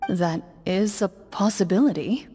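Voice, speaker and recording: A woman voicing a composed, stern young game master, with formal, measured, solemn dramatic narration in a video game. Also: medium pitch, dark tone, faint music behind, natural voice. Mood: matter-of-fact.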